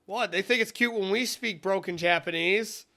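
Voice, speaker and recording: A young man trading casual banter as a podcast co-host, dropping blunt, joking lines. His voice is high and gravelly, with a bright, sharp edge. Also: normal speed, a little hiss or hum.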